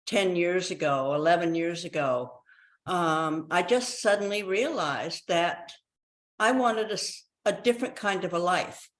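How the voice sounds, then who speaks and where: A woman's voice: fairly low pitch, a little slow. A woman in her eighties speaking as an interview guest, telling her own story in a reflective, conversational way. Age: 80s.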